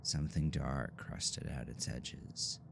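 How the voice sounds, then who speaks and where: A man reading scripted horror audio drama narration in a measured, ominous storytelling manner. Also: extremely low pitch, steady tone, vocal fry, background noise.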